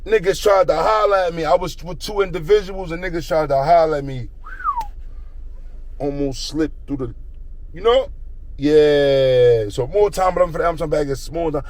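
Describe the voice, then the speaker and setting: A man's voice: fairly high pitch, moderate pace, darkish tone, a little hiss or hum, rough recording. A young man telling a rambling street story in slang, circling back to a repeated catchphrase.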